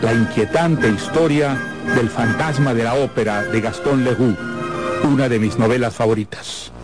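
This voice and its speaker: A man's voice: medium pitch, moderate pace. A man hosting a literary radio programme with a scripted presentation. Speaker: host. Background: music.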